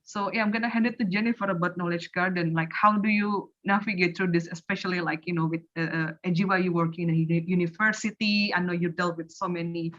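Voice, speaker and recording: A woman's voice: fairly low pitch, fairly even intonation, quick pace, hardly any pauses, a bit bright. A woman from Indonesia, a native Indonesian speaker, hosting a casual online panel discussion. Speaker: host and curator. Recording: slightly dull lo-fi online audio.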